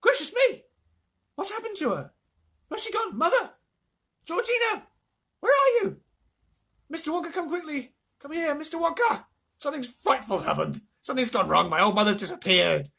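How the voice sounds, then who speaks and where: A reader voicing a panicked middle-aged mother who wails in a shrill, high voice as her family shrinks away. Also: animated, normal speed, gravelly, long pauses, pushed and bright, heavily acted.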